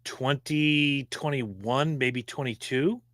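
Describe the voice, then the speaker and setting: A man co-hosting a casual racing podcast, opinionated and animated, talking fast. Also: mid-range voice, no echo, clean studio sound. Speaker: podcast co-host. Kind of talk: casual sports chat.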